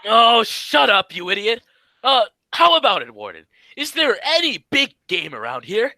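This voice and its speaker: A man acts out a bossy straight man from a radio comedy duo. His voice is very high, bright and swooping. He is brisk and scolding, forever exasperated with his partner. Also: big pitch swings, no room sound, full character voice. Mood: inquiring. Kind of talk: radio comedy sketch acting.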